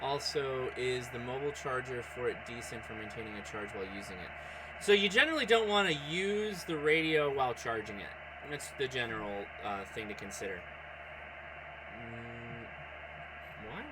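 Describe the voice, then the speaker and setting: A man's voice: medium pitch, animated, moderate pace, very noisy. A man chatting casually on a livestream as he operates a radio, thinking aloud in a distracted way. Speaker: amateur radio streamer.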